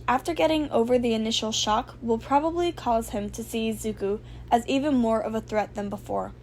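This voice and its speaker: A woman reading fan fiction aloud as an amateur narrator, in an expressive storytelling style. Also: a little high. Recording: faint background noise.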